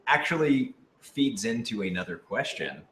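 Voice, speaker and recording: A man of average pitch chats casually as part of a panel. The recording is roomy and echoey. There is slight background noise. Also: sing-song, normal speed, fairly bright tone. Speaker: actor and producer in a group Q&A.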